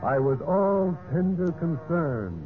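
Smug radio-play schemer, lively pitch. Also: male voice, slow pace, phone-quality sound. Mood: smug narration. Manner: smug, boastful, smooth-talking. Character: vain, scheming male general manager confessing murder. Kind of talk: 1940s-50s radio drama acting, narration and dialogue. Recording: band-limited vintage radio, music behind.

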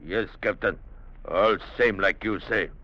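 An actor playing a blunt, demanding village chief who speaks in clipped pidgin English in a 1950s radio drama. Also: male voice, on the low side, a little slow, pushed and bright, no echo. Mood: sullen. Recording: dull vintage radio broadcast.

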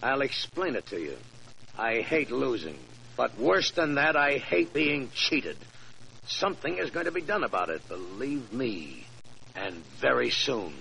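An actor playing a suspicious, menacing race-car owner, hard and terse, in 1940s radio drama style. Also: male voice, mid-range voice, very animated, unhurried, creaky, a crowd in the background, poor audio. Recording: old radio recording, faint noise.

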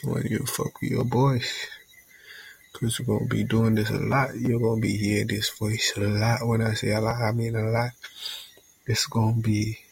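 A man's voice: fairly low pitch, flat delivery, normal speed, creaky, husky, no room sound, poor audio. A young man from Jamaica hosting a casual solo podcast intro in a laid-back, rambling way, often saying "you know what I'm saying". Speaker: podcast host.

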